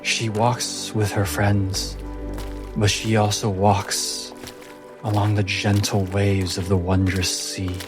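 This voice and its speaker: Slow-paced man narrating as game master. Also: lowish voice, level tone, over music. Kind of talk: slow dramatic fantasy narration.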